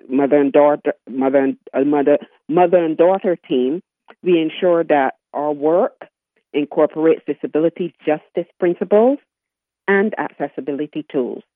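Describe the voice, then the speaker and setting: A woman in her sixties from Barbados, a guest talking in an interview, often halting and restarting her sentences. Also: sits low, no echo. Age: 60s. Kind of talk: interview conversation. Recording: tinny phone line.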